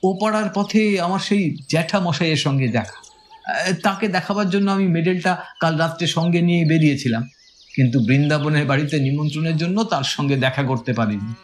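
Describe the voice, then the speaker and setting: A man's voice: on the high side, fairly quick. A man narrating a suspense audio story in a dramatic, scripted first-person style. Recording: music behind the voice. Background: clear background music.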